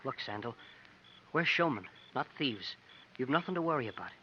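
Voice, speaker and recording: A man's voice: mid-range voice, lively intonation, normal speed, bright, forceful tone, a little hiss or hum. Earnest, eager boy, old radio. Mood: eager, admiring. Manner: polite, simple, admiring. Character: earnest, eager boy, loyal sidekick to a showman. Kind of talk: 1950s radio comedy-drama acting. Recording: dull old radio broadcast.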